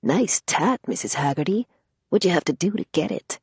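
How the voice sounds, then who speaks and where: A wry, teasing young woman friend with a husky, lively voice, as a woman narrator puts her on. The sound is dry and close. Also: lowish voice, moderate pace, dry recording, full character voice. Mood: amused.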